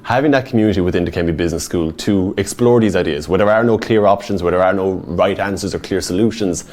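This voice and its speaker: A man's voice: low voice, quick pace, a little mellow. A man in his twenties with a rural West Limerick Irish accent, a university student giving an earnest, enthusiastic open day talk to prospective students. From Ireland. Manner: earnest, enthusiastic, with filler ums.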